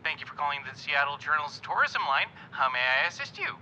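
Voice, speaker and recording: Fast, bright phone agent played by a man. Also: fairly high pitch, very animated, faint music behind, heavily acted. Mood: courteous, brisk. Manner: courteous, businesslike. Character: polite male newspaper tourism phone agent. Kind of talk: audio drama acting. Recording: dull phone call, faint noise.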